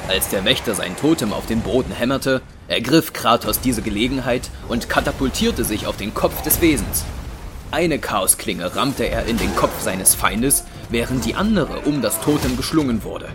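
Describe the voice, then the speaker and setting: A man's voice: medium pitch, lively intonation, moderate pace. A man narrating a scripted audio drama as a dramatic storyteller. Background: music.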